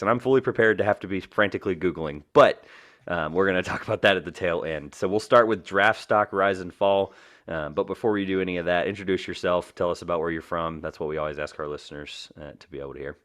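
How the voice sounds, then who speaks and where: A man's voice: low-pitched, fairly bright tone. A man from North Carolina hosting a podcast in a casual, informal and self-deprecating way. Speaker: podcast host.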